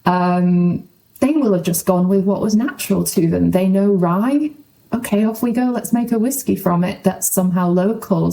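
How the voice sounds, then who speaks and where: A woman's voice: medium pitch, vocal fry, dark tone, poor audio. A woman speaking as a guest journalist in a conversational podcast interview.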